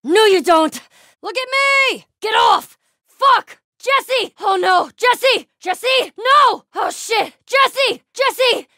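A woman's voice: extremely high pitch, lively intonation, drawn-out words, bright, forceful tone, no echo, clean studio sound, heavily acted. An actress playing a tough, foul-mouthed, wisecracking young woman survivor in a video game, blunt and naturalistic. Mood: alarmed, shouting. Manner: blunt, sweary, wry.

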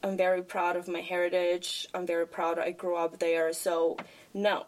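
A woman's voice: on the low side, little pitch movement, normal speed, poor audio. A woman from Ukraine, co-hosting a podcast in casual chat, speaking earnestly and repeating phrases for emphasis. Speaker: podcast co-host.

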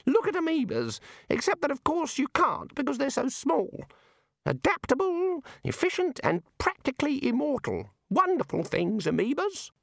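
A man voicing a tiny, fussy old male god who swings between pompous threats and gloomy deflation, in a high, quavering, drawn-out voice. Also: wildly swooping pitch, gravelly, dry recording, studio quality, exaggerated voice. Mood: excited.

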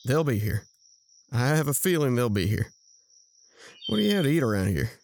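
Casting: actor as handy, exasperated male sibling for audio drama, swooping intonation, rough creaky edge. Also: mid-range voice, sing-song, normal speed, darkish tone, slight background noise. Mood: patient, caring. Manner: wry, incredulous, instructive. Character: exasperated, practical, protective brother, handy and patient.

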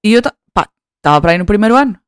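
A woman's voice: medium pitch, animated, very fast, clear, resonant tone, no echo, clean studio sound. A woman in her twenties with a European Portuguese accent, hosting a podcast in casual chat, rambling, swearing freely and mocking herself. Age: 20s. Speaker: podcast host.

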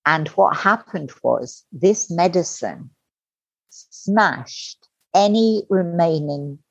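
A middle-aged woman telling her personal story as a podcast guest, candid and animated, acting out the voices she quotes. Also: fairly low pitch, slow, slightly rough, no room sound. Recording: dull lo-fi dry sound.

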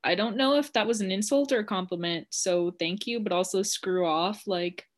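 A woman's voice: mid-range voice, moderate pace. A young woman co-hosting a casual podcast, talking frankly and filling her speech with "like.". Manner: chatty, heavy use of "like", frank. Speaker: podcast co-host.

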